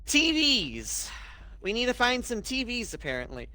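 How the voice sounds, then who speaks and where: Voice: mid-range voice, big pitch swings, normal speed, bright, forceful tone. A co-op partner in a horror game, explaining the objectives and sometimes dropping to a whisper. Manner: explains the game, drops to a whisper when tense. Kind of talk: casual gameplay chat.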